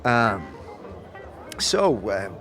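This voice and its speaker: An awkward male wanderer acted by a man in a fantasy audio drama. He speaks at a middling pitch, halting and stammering as if unsure of himself. Music plays behind him. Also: big pitch swings, full character voice.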